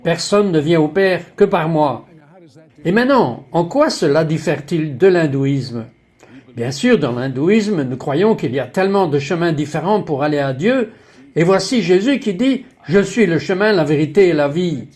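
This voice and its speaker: A man's voice: fairly high pitch, expressive, moderate pace, darkish tone. A man providing a voice-over dub for a religious interview and testimony programme. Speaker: French voice-over dubber of a religious interview show.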